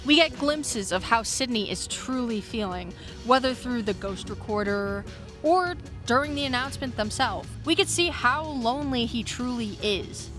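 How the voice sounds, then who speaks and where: High-voiced woman, video essay over music. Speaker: video essayist reviewing fiction podcasts. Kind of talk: scripted video essay with casual asides. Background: background music.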